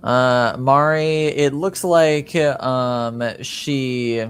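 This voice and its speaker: A man narrating a tabletop game as game master, improvising haltingly as he searches for words. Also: mid-range voice, clear, resonant tone, soft background music.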